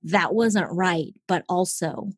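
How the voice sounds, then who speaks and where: A woman in her forties from Seattle, openly telling her personal faith story as a podcast guest, earnest and quick to laugh. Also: lowish voice, breathy edge, no echo, clean studio sound. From Seattle, Washington, USA. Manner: open and earnest, laughs easily, addresses listeners as guys. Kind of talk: candid personal testimony.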